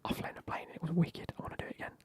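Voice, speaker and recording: Whispering man chatting on stream. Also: rapid-fire. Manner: whispering, banter with chat, swearing. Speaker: live streamer. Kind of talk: whispered casual stream chat.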